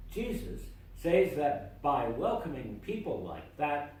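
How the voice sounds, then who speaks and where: A man reads a sermon in a measured, deliberate way and leans hard on key phrases. His pitch moves a lot, and he takes his time. He is picked up by a distant mic in a very reverberant church. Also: darkish tone. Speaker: lay reader delivering a sermon. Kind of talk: read sermon. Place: church sanctuary. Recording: very echoey church from far off.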